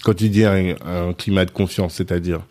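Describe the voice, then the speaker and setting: Low mellow-voiced male host. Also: steady tone, moderate pace. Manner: frequent short backchannel, teasing. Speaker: podcast host. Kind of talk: casual interview conversation.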